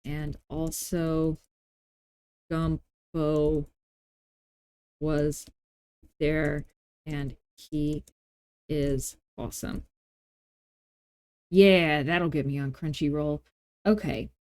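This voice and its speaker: Slow, mellow-voiced woman hosting a casual tutorial. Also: sits low, steady tone, smooth, dry recording. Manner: playful, self-deprecating asides; informal thinking aloud while working. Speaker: tutorial host. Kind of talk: casual screen-recorded software tutorial narration.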